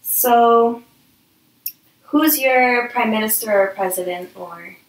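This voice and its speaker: Slow-paced woman hosting, distant and roomy. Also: on the high side. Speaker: talk show host. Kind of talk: casual interview in a homemade talk show.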